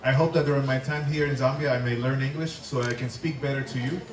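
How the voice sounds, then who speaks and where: A man's voice: mid-range voice, fairly even intonation, quick pace. A man interpreting a formal greeting speech phrase by phrase. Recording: echoey, distant mic, crowd noise.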